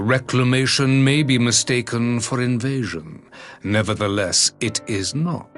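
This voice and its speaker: An actor playing a proud, uncompromising emperor in a fantasy video game, with grave, oratorical and archaic formal speech. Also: male voice, medium pitch, fairly bright tone, lightly acted. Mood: scornful, firm. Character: proud, uncompromising middle-aged male emperor, stern and disdainful.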